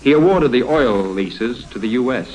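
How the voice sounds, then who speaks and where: An actor playing a blunt, unruffled FBI agent in 1950s radio drama, dry, matter-of-fact and sardonic. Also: male voice, mid-range voice, expressive, moderate pace, darkish tone, close to an everyday voice, low-quality recording. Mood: sardonic, cool. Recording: old 1950s radio recording.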